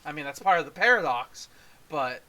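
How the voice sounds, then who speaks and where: A man with a fairly high, very bright voice in a casual review conversation. He rambles as a co-host and often leaves thoughts hanging halfway through. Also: animated, normal speed. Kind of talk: casual film review chat.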